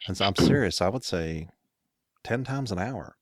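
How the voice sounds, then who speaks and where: A man's voice: low voice, animated, moderate pace, no room sound, clean studio sound. A middle-aged American man co-hosting a casual podcast, telling rambling workplace stories with chuckles and self-corrections. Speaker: podcast co-host. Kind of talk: casual chat.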